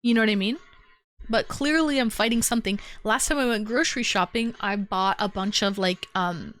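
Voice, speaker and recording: A woman's voice: mid-range voice, a bit bright, dry recording. A young woman hosting a livestream, chatting casually with viewers and answering their comments, a little stuffy from a lingering cold. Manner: chatty, rambling, talks straight to chat viewers. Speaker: livestream host. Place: home.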